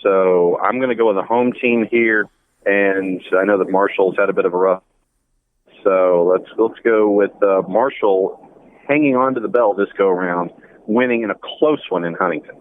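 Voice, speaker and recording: A man's voice: on the low side, moderate pace. A man chatting casually about sports and giving his predictions over a phone call. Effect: phone line. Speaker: sports commentator on the show. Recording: dull phone call with faint noise.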